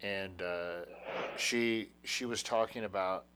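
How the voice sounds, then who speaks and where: A man in a casual chat, talking in an animated, mocking way and calling his friend dude. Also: low voice, slow pace, slight background noise.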